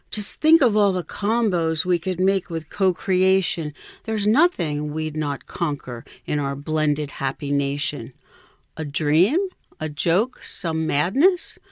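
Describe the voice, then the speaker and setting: A woman recites rhyming verse as a poet reading her own work. Her voice sits a little low and moves very slowly. The sound is dry, with almost no room around it. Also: a little mellow, no room sound.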